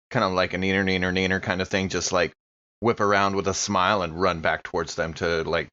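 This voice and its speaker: A man's voice: sits low, sharp tone. A man playing a tabletop game, casually describing what his character does, on a slightly dull recording. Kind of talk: casual roleplay chat.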